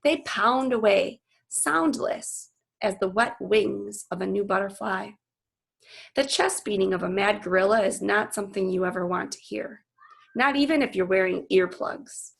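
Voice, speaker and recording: A woman's voice: mid-range voice, unhurried, vocal fry, fairly bright tone. A woman voicing an earnest, anguished male gorilla who narrates his own storybook tale in short, emphatic phrases. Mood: solemn, intense.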